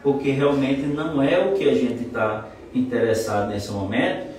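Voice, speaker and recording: A man's voice: medium pitch, steady tone, moderate pace, a little mellow, a little hiss or hum. A man in his forties, a trial lawyer and mentor, explaining in an expansive, self-assured way. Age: 40s. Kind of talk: casual interview chat. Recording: echoey room, mic at a distance.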